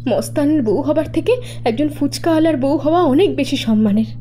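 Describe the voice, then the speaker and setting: A woman playing an earnest, anxious young woman, pleading in an animated horror cartoon. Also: sits high, fast talker, faint music behind, lightly acted. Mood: earnest, determined. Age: young adult.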